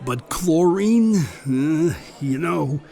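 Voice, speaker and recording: A laid-back, folksy hotline helper with a slightly high voice, talking a caller through her trouble in a comedy sketch, as a man plays him. He sounds relaxed and unbothered. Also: drawn-out words, creaky, heavily acted. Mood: easygoing. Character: easygoing turkey hotline helper. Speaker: hotline operator.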